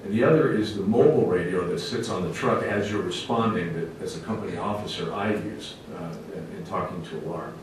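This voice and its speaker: Lower-pitched man, fire officer presenting, emphatic, plainspoken, folksy, very reverberant meeting room. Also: fairly quick, hardly any pauses. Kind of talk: public presentation to a council committee. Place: large echoey indoor meeting room. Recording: very echoey room, distant mic, faint rumbling noise.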